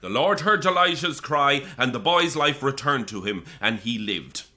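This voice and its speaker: A man's voice: mid-range voice, lively intonation, moderate pace, sharp tone. A man acting an intense, prickly Irish priest and bereaved father in an audio drama, preaching and combative. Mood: fervent, forceful.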